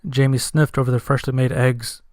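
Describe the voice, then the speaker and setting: Somber man narrating, even-toned. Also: medium pitch, a little fast, no room sound, studio quality. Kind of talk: first-person dramatic story narration.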